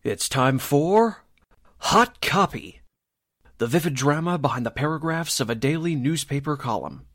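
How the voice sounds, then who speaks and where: A man's voice: on the high side, animated, a little slow. A man reading as an announcer in an emphatic, dramatic 1940s radio style.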